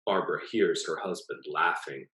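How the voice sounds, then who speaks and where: A man reading his own short story aloud as an author, in a measured, expressive narrating manner. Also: a bass voice, echoey room.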